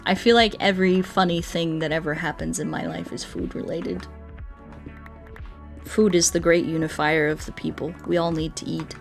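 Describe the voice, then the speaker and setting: A woman's voice: low voice, fairly even intonation, moderate pace, gravelly. A woman chats casually as she streams a game, with music playing behind her. Her manner is goofy and playful, and she rambles along in an easygoing conversational style. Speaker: game livestreamer. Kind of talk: casual livestream chat while playing.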